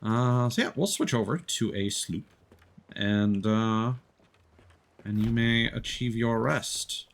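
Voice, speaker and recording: A man's voice: medium pitch, slow, gravelly. A man hosting a game livestream, chatting casually in an easygoing, hesitant way with trailing sentences. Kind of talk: casual gaming chat.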